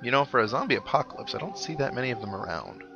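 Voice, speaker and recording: A man's voice: mid-range voice, sing-song, racing, creaky, lo-fi sound, music in the background. A man hosting a video game playthrough, giving casual commentary with wry, sarcastic asides. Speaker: gamer host of a let's play. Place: indoor recording with game music behind.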